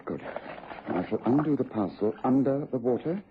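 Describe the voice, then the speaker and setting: An actor playing a brisk, self-assured English gentleman detective in a radio drama, with precise, authoritative delivery. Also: medium pitch, animated, lightly acted. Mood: intent. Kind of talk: BBC radio drama acting. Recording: dull, noisy vintage radio recording.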